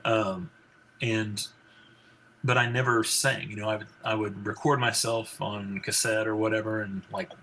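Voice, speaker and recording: A man in his forties with a baritone voice, a physician and country singer talking as an interview guest, rambling with many fillers and restarts. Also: fairly even intonation, measured pace, bright, forceful tone, slight background noise, poor audio. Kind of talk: casual interview conversation.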